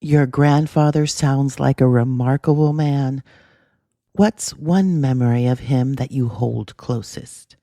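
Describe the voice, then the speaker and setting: A podcast host speaking in a measured, earnest, reflective way, with scripted narration and gentle interview questions. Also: mid-range voice, no echo, clean studio sound.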